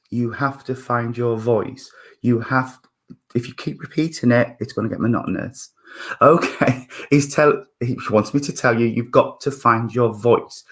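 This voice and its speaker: A man in his fifties with a husky voice, giving a spiritualist mediumship reading in a halting way, with many fillers and restarts as he thinks aloud. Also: mid-range voice, fairly bright tone. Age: 50s.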